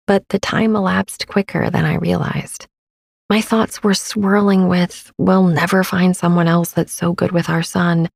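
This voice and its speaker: A woman in her thirties gently narrating a sleep meditation as podcast host, with a soft, breathy, soothing and unhurried delivery. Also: lowish voice, no room sound, clean studio sound.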